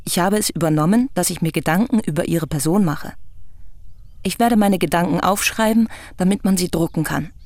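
An actress playing a young woman in a radio drama who calmly and persistently questions an old artist, probing and refusing to let go. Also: lowish voice, fairly quick, no room sound, lightly acted. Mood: measured. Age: young adult. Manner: calm, probing, stubborn questioning.

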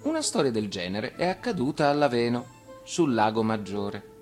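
Male actor for expressive fairy-tale narration, very animated intonation, light music underneath. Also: mid-range voice, moderate pace. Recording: radio reading over music.

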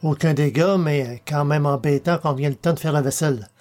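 A man's voice: fairly high pitch, fairly quick, a little mellow, faint music behind. A man hosting a podcast and reading stories aloud in scripted narration. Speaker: podcast host and audiobook reader.

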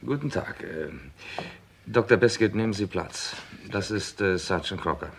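An actor playing a terse, sober chief inspector in a crime radio play, measured and authoritative. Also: male voice, on the low side, creaky, a little hiss or hum. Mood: businesslike.

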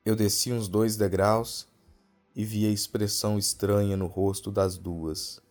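A man with a Brazilian accent narrates a scary tale as a storyteller. His voice sits on the low side, with faint music running underneath. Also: little pitch movement, unhurried, darkish tone. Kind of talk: dramatic scripted horror narration.

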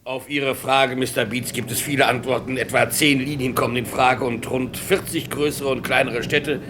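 An actor playing a courteous, formal and helpful airport director in a German radio crime drama. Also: male voice, mid-range voice, steady tone, moderate pace, lightly acted. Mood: matter-of-fact. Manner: formal, obliging. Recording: clear background noise and ambience.

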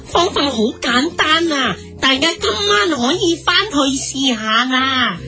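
A woman's voice: high voice, expressive, a little slow, sharp tone, faint music behind, exaggerated voice. A woman voicing a perky, easily impressed TV co-host in a comedy parody, gushing in Hong Kong Cantonese. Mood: gushing, amazed. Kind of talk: parody TV cookery show hosting.

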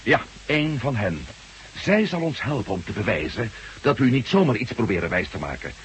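A man's voice: mid-range voice, very animated, fairly quick, close to an everyday voice, low-quality recording. Radio drama lead male actor: wry, impatient adventurer, ex-astronaut, mid-range voice that swings expressively, urgent delivery. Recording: hissy old radio recording.

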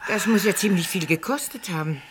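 An actress playing a stern, indignant elderly aunt, formal and easily exasperated, in a German radio play from around 1960. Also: female voice, fairly low pitch, brisk, background noise, lightly acted. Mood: flustered, stern. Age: older.

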